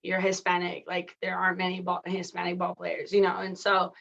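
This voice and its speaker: A woman's voice: on the low side, monotone, brisk, creaky, a bit bright. Chatty woman coach, dull audio. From Mexico, raised in California. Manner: candid, chatty, many fillers like "like" and "you know". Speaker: guest, coach and athletic director. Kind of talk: casual interview conversation.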